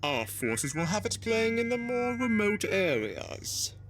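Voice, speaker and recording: A man acts out an eager, literal-minded alien officer in an audio drama. His voice is fairly high and animated, with clear music behind it. He reports in an earnest, military way. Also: slow, heavily acted. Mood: businesslike. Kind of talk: scripted audio drama acting.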